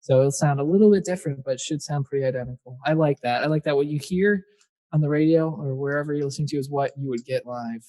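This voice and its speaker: A man's voice: a little high, fast talker, dark tone. A young man, a singer-songwriter guest, chatting casually in a podcast interview and rambling with fillers. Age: young adult.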